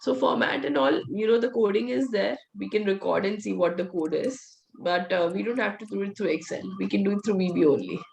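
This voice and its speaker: A woman leads a coding class in a hoarse, fading voice with some gravel in it. Her delivery is direct and coaching, at a middle pitch. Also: a little fast, few pauses, darkish tone, highs cut off, slight background noise. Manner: direct, coaching, laughs often. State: voice nearly gone, hoarse. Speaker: VBA trainer. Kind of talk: live online class, teaching and answering questions.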